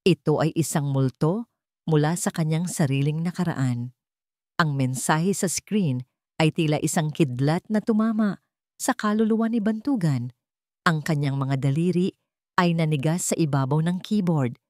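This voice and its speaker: Woman, deep pitch, dramatic story narration, suspenseful measured delivery, dry close sound. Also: a little mellow, dry recording.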